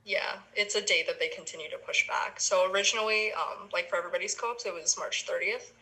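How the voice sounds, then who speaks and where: A woman's voice: mid-range voice, a little fast, bright, forceful tone, slightly rough, rough recording. A young woman from the Philippines, a university student, chatting casually in an interview with many ums and restarts. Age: young adult.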